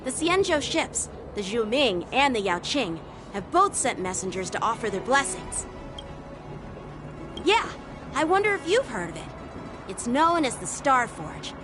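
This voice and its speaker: A woman voicing an eager, chatty teenage boy swordsman in a video game, speaking politely and earnestly. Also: a little high. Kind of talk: English video game voice acting. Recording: game music behind the voice.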